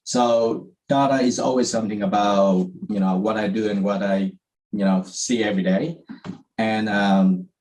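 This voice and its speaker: A man's voice: fairly low pitch, steady tone, moderate pace, a little mellow, poor audio. A man from Vietnam, a guest in an interview, who talks casually with many fillers and restarts. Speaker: interview guest, property investor.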